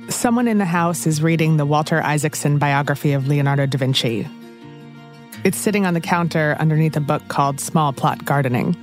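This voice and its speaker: A woman hosting a podcast, calmly narrating a scripted story. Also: low voice. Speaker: podcast host.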